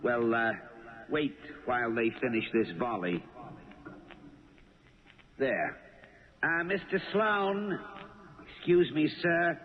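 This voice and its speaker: An actor playing a polite, officious club attendant in a 1970s radio drama, formal and a little hesitant. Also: male voice, mid-range voice, slow, pauses often, natural voice. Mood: deferential. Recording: noisy narrow-band old radio broadcast.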